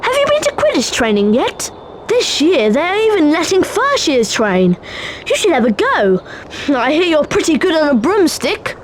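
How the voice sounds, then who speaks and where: High-pitched young magic-school student. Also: female voice, big pitch swings, moderate pace, slight background noise, poor audio. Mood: chatty.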